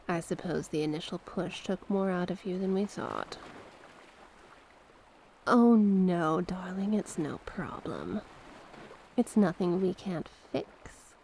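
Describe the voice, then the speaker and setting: A hushed, breathy sea witch played by a woman in an ASMR roleplay, seductive and sinister. Her voice is mellow with a gravelly creak, and she coaxes slowly with doting endearments. Noticeable ambient noise sits behind her. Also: on the low side. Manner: slow, coaxing, affectionate endearments.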